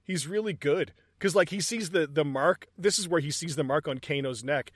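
A talkative man in a casual film review chat who walks through scenes and swears casually. His voice sits a bit higher than most men's and is recorded close and dry. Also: normal speed, no room sound. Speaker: movie review podcast co-host.

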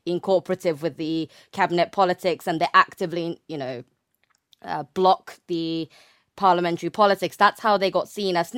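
A woman's voice: mid-range voice, a bit bright, clear, resonant tone, no room sound, studio quality. A young woman speaking as a guest expert, explaining conversationally and often searching for the right words. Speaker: guest expert, PhD researcher in war studies.

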